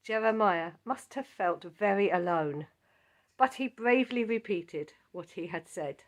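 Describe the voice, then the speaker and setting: A woman leads a Bible study at an unhurried pace, her voice a little croaky. She speaks in a measured, earnest way, reading like a prepared lesson. Her pitch sits in an ordinary middle range.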